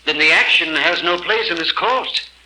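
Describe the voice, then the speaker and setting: An actor playing a stern, commanding judge who speaks in clipped tones in an old-time radio drama. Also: male voice, on the high side, normal speed, pushed and bright, rough recording. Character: stern male judge, impatient authority. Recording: faintly hissy old radio recording.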